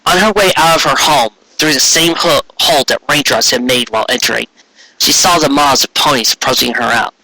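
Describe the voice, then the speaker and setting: Very bright, husky male story reader. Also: mid-range voice, fairly quick, no room sound, rough recording. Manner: stumbling over words, self-correcting. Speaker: amateur story narrator. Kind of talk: reading fan fiction aloud, narration.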